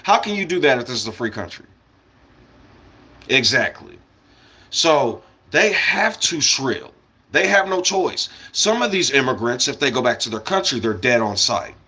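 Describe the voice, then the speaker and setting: An American man talks off the cuff to a live audience online in a bright, forceful voice of middling pitch. He is blunt and opinionated, swears freely, and wanders from one aside to the next in casual monologue. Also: sing-song, moderate pace, breathy edge. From United States. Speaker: live streamer. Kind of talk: casual rambling livestream monologue.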